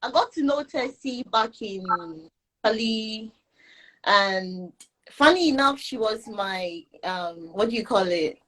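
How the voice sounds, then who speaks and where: A young woman chats easily as a livestream guest, pausing now and then to find her words. Her voice sits at an ordinary middle pitch. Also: expressive, fairly bright tone, poor audio. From Ghana. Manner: chatty, searching for words with fillers. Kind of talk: casual chat on a livestream.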